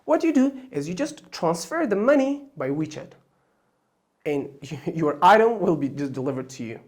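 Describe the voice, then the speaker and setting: A host, a Persian and Pashto speaker, talks through the plan for a language course without a script. The speech is full of ums, uhs and false starts. The voice sits at a middling pitch and leaps upward on words being stressed. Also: very animated, moderate pace, darkish tone. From Afghanistan. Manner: hesitant, many fillers and restarts, pitch jumping up on key words. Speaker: online Chinese course host. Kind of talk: unscripted explanation of a course plan.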